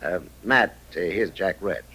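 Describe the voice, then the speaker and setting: An actor playing a shrewd, threatening saloon boss in an old-time radio western, with a sardonic, calculating manner. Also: male voice, deep voice, unhurried, bright, forceful tone, slightly rough, heavily acted.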